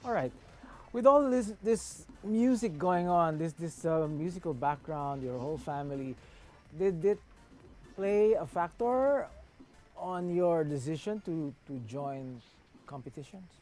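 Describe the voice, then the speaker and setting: A man's voice: on the high side, expressive, unhurried, warm tone, faint music behind. A man hosting a live talk show, who often stumbles and repeats words while forming his questions. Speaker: host.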